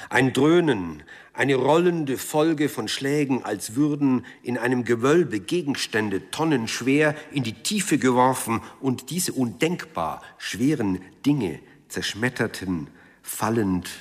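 A man delivering grave, halting, dramatic scripted narration for the radio. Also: mid-range voice, animated. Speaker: reader of a dramatic account. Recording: long reverberant hall.

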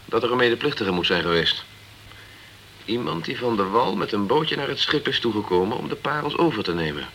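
An actor playing a dogged, straight-talking insurance investigator in a Dutch radio crime drama, direct and clipped. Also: male voice, mid-range voice, big pitch swings, brisk, vocal fry, bright, forceful tone, slight background noise, full character voice. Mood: insistent.

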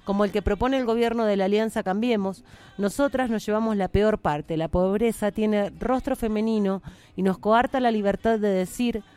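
A woman's voice: mid-range voice, normal speed, mellow, clean tone. Activist, woman, earnest declamatory reading, mid-range voice. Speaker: feminist activist. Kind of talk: reading a protest manifesto aloud.

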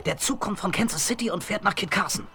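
An actor playing a scheming, boastful male spy in a 1970s western radio drama, excitable and conspiratorial. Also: high-pitched, fast. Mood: gloating. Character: scheming boastful tramp spy posing as a clerk. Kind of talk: 1970s German audio drama acting.

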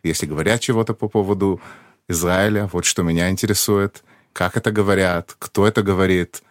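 A man's voice: deep voice, fairly even intonation, a little fast, no echo, studio quality. A man in his thirties, a guest on a podcast, explaining things plainly and simply for a child. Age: 30s. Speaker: embassy press secretary, guest. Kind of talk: casual interview.

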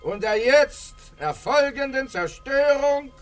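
An actor playing a bishop who slowly pronounces a formal church verdict in a classic radio play. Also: male voice, very high-pitched, expressive, soft background music, heavily acted. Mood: solemn. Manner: slow, solemn, formal decree. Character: pompous male bishop pronouncing judgment, solemn.

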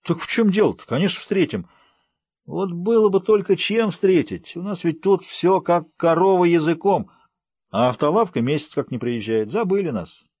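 A man's voice: sits high, expressive, moderate pace, a little mellow, husky, no echo, voice pushed up. A man voicing a talkative, blunt old village man in an audiobook, with rustic colloquial speech. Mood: grumbling. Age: older. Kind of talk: audiobook character voice.

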